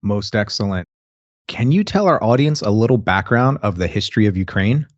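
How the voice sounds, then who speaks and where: A man hosting a podcast, reading an ad script and introducing his guest in a smooth, announcer-like way. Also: on the low side, expressive, moderate pace, dry recording, dull audio. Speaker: podcast host. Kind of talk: scripted ad read and interview questions. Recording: music bed under the voice.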